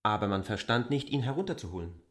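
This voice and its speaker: A stubborn, animated man, dryly recorded. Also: on the low side, dry recording, studio quality. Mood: probing. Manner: formal, deliberate, argumentative. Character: K., insistent, polite but stubborn man arguing his case. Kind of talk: German literary radio drama acting.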